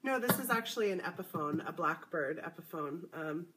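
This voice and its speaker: A woman hosting a casual spiritual livestream, a little congested, talking in a soft, hesitant, slightly nervous way. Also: lowish voice, animated, moderate pace, heavy background noise. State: congested, voice deeper than normal. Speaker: livestream host.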